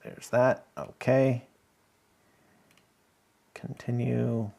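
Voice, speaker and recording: A man's voice: medium pitch, little pitch movement, very slow, vocal fry, a little mellow. A man hosting a casual live stream, thinking aloud as he works and chatting easily with viewers. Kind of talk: casual live stream chat while working.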